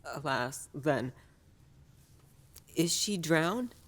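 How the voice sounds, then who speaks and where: A man's voice: on the high side, expressive, very slow, a bit bright, heavily acted. A male actor playing a hot-tempered young nobleman in a Shakespearean audio drama, with intense theatrical delivery. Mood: stunned grief. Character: vengeful grieving young nobleman, hot-tempered son and brother.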